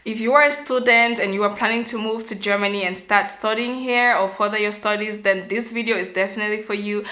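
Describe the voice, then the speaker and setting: A young woman from Cameroon, giving earnest step-by-step advice in a vlog, often pausing on "um" and restarting. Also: medium pitch, steady tone, sharp tone. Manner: earnest, explanatory, frequent um fillers and restarts. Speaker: vlogger.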